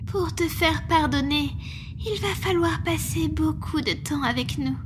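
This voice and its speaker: A woman's voice: high voice, a little slow, background noise. A woman playing a greedy, mock-sweet monster girl who taunts with false affection in an ASMR roleplay. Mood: taunting. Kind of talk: French ASMR roleplay acting.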